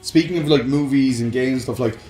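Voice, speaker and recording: A man's voice: mid-range voice, brisk, reverberant, over music. A man co-hosting a casual discussion show, explaining things in a rambling, enthusiastic way. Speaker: co-host of a film and games discussion show. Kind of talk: casual banter and opinionated chat. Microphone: close microphone on a stand.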